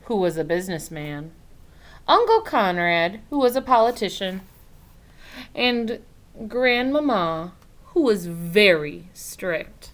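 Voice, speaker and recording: A woman reading a picture book aloud to children, expressively, pausing to explain things in simple asides. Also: medium pitch, expressive. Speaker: read-aloud storyteller.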